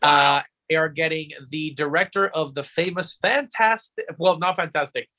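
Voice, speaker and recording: Bright-voiced man sharing news casually. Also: fairly high pitch, moderate pace. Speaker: podcast co-host.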